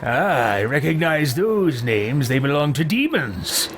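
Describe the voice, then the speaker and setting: A man voicing a cold, imperious, self-serving mage in a video game roleplay, with pompous, deliberate delivery. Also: fairly high pitch, very animated, heavy background noise. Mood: imperious, probing. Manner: pompous, deliberate, drawn-out words. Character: haughty, suspicious, self-serving male mage, coldly imperious.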